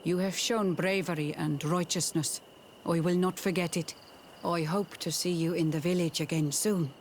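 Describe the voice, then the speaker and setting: An actor voices a proud, stern clan war leader in a video game. The voice is fairly high for a man, grave and resolute. Ambient noise is heard behind it. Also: fairly even intonation, moderate pace, lightly acted. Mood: grateful.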